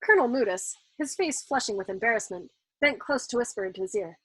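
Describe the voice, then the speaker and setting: A woman reading a novel aloud as a storyteller, in expressive narration. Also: fairly high pitch, fast, husky, no echo, low-quality recording. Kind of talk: expressive audiobook-style narration.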